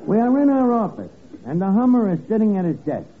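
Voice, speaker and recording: A man's voice: high-pitched, big pitch swings, a little fast, crowd noise. An actor playing a bossy, slangy, scheming tavern man in old radio comedy, wisecracking and mangling his grammar. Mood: bossy, self-important. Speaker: comic lead of a radio sitcom. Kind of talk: 1940s-50s radio comedy acting. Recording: scratchy, noisy, narrow-band old radio recording.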